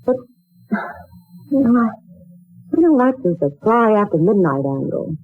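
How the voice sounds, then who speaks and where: A woman's voice: medium pitch, very animated, moderate pace, no echo. An actress playing a proud, polite young woman looking for work, in a dramatic 1940s radio style. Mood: eager. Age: young adult. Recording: muffled old 1940s radio recording.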